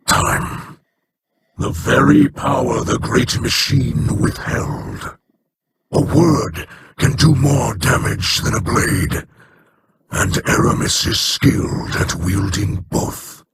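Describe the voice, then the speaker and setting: An actor playing a solemn, regretful male elder of an alien people in a video game, speaking in measured, formal sentences. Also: vocal fry, background noise, heavily acted. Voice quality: low, measured, formal speech.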